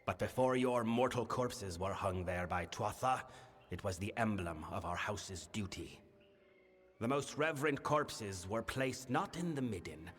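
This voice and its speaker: A male actor voicing a grave, burdened fae king in a fantasy game, speaking formally and in a measured, solemn manner. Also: lowish voice, fairly bright tone. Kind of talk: scripted fantasy game dialogue. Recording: long reverberant hall, music behind. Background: music.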